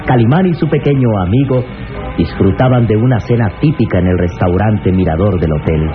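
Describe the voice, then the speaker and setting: Deep, tinny old-radio narrator played by a man. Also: a little fast, close to an everyday voice. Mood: grand, scene-setting. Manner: formal, declamatory. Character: storytelling narrator of an adventure serial. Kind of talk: 1960s radio drama narration. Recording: tinny old radio recording under loud music.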